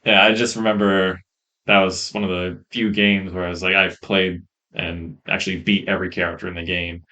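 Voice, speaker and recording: Guy with a lowish voice rambling on a podcast, kinda hesitant, rough mic audio. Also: little pitch movement, a little fast, pushed and bright, muffled recording. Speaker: podcast co-host. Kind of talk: casual chat.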